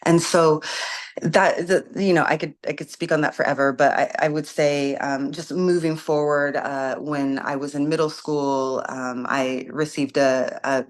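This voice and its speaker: Woman guest, community organizer; unhurried, reflective, filler-heavy delivery. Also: sits low, fairly even intonation. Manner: thoughtful, many ums and restarts. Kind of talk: casual interview conversation.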